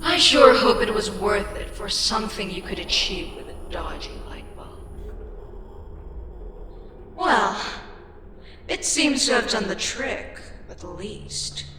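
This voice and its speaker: A woman playing a weary, irritable ancient eldritch deity in a fantasy ASMR audio roleplay, sardonic and theatrical, with deliberate pauses. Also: mid-range voice, expressive, slight background noise. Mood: sardonic, resigned. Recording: echoing long reverb with loud music. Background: loud music.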